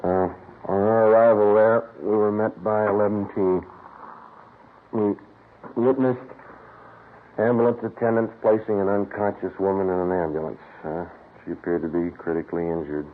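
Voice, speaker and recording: An actor as a deep-voiced terse American police detective. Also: male voice, fairly even intonation, normal speed, lightly acted. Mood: methodical. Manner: clipped, matter-of-fact, understated. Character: terse, businesslike male police detective sergeant. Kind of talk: 1950s radio police drama, narration and dialogue. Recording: muffled, noisy old radio recording.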